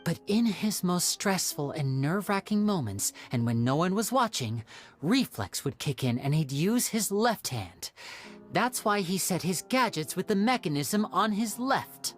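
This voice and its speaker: A woman voicing a clever, calmly confident young magician detective in a video game, measured and deliberate. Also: lowish voice. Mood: calm, deductive. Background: faint background music.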